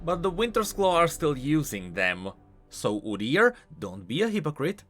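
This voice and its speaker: A man hosting a video game lore explainer, speaking in an animated, emphatic way. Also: a little high, moderate pace. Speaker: host of a game lore channel. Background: music.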